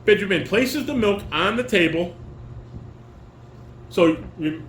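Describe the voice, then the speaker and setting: A man's voice: high-pitched, expressive, slow, a bit bright. An older man, a father casually directing a table read at home, giving corrections and reading stage directions aloud. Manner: instructing, corrective. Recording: roomy home recording with clear background noise.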